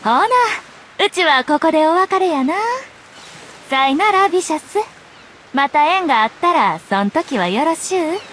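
A woman's voice: high-pitched, animated, moderate pace, fairly bright tone, a little hiss or hum. An actress voicing a self-possessed, teasing young woman journalist in a Japanese game, speaking in a nonchalant Kyoto-style Kansai accent. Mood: breezy. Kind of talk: Japanese anime game voice acting.

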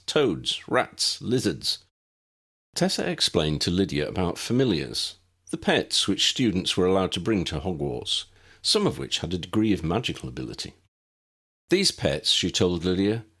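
A man's voice: low-pitched, a bit bright, slightly rough. A man reading a scripted story as an audiobook narrator.

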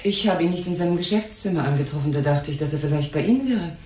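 A woman's voice: deep voice, fast, darkish tone, natural voice. An actress playing a genteel, fretful older mother in a crime radio drama, speaking politely. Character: genteel, anxious older mother of a store boss. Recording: roomy echo with faint noise.

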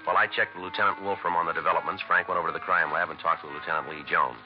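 An actor playing a terse, deadpan American police detective in a low-key 1950s radio drama. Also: male voice, racing, over music, close to an everyday voice. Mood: matter-of-fact. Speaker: police sergeant and narrator. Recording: dull, noisy 1950s radio recording.

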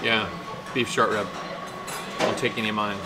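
A man in his fifties hosting a casual food vlog, chatty and playful, reacting loudly as he tastes sushi in a small restaurant. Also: lowish voice, level tone, moderate pace. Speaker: food vlogger host. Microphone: handheld camera close up. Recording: noisy restaurant room.

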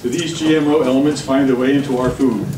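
A middle-aged man reading prepared testimony in a measured, formal way at a public hearing. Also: mid-range voice, steady tone. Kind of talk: prepared testimony read aloud. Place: government committee hearing room. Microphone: camera across the room. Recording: distant, echoey room recording with background noise.

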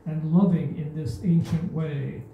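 An older woman reading a rhyming poem aloud at an open mic, in a slow, measured recital. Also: low voice, fairly even intonation, muffled recording, slight background noise. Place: small stage in an indoor venue. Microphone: stand mic, filmed from a far camera. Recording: echoey room from far off.

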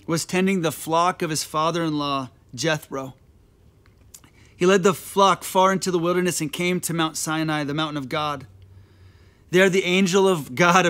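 A man in his thirties delivers a sermon with slow, deliberate pacing and a slightly raised pitch. He speaks earnestly, circling back on phrases as he presses his point. He stands on a dark indoor stage, talking to a camera at mid distance. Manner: earnest, repeats phrases, gestures while preaching. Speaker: pastor.

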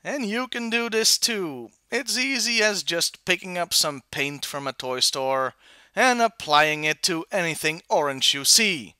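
A man narrates a scripted toy review with wry, opinionated delivery. His voice is bright and very lively in pitch, and the sound is dry and close. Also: measured pace, smooth, no room sound.

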